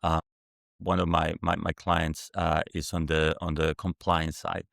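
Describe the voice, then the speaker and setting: A man in his forties from Mexico City with a Mexican Spanish accent, a non-native English speaker, answering interview questions in a halting way with many restarts. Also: sits low, little pitch movement, creaky, fairly bright tone, dry recording, clean studio sound. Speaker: guest founder. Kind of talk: conversational interview.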